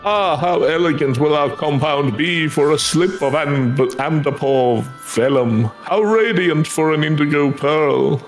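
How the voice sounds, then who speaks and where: Man playing a courtly, low-key fantasy craftsman. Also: measured pace, few pauses. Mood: solemn, encouraging. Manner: formal, flowery, archaic phrasing. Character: courtly male fantasy craftsman, earnest, devout and grateful. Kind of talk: voiced reading of fantasy game quest dialogue. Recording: music behind the voice.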